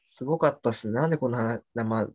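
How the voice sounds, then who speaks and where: A man's voice: medium pitch, very fast, a little mellow, slightly rough, no echo, studio quality. A man in his twenties chatting casually as a podcast host, speaking in loose but polite speech as a junior does to a senior friend. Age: 20s. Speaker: podcast host looking back on student days. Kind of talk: casual chat between friends.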